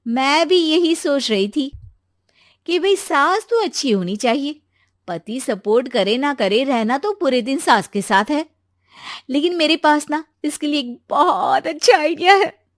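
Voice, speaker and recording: A woman's voice: sits high, expressive, a little slow, dry recording, clean studio sound, pitched above the performer's own voice. Woman doing a chirpy young bride-to-be. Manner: chirpy, playful. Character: lively, outspoken young bride-to-be.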